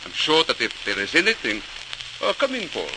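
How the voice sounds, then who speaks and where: An actor playing a skeptical, fussy company boss who repeats himself, in 1940s radio drama style. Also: male voice, on the high side, wildly swooping pitch, fairly quick. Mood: formal. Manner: hesitant, repetitive, dismissive. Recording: noisy crackling old radio recording.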